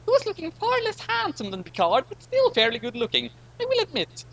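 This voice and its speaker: A man's voice: very high-pitched, wildly swooping pitch, fairly quick, a bit bright, voice pushed up, exaggerated voice. A man voicing a prissy, vain cosmic being with mock-grand reluctance and an affected, fussy delivery. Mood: smitten, picky.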